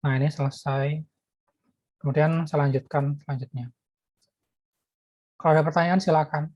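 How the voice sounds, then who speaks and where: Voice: medium pitch, steady tone, normal speed, darkish tone, no echo. A lecturer explaining programming while typing code, thinking aloud with many fillers and restarts. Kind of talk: live coding lecture.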